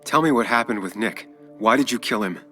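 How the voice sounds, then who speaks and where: An actor playing a brooding, cautious journalist-detective with an American accent and a terse, serious manner in a video game. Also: male voice, mid-range voice, fairly quick, big-room sound, music in the background, lightly acted. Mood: serious, probing.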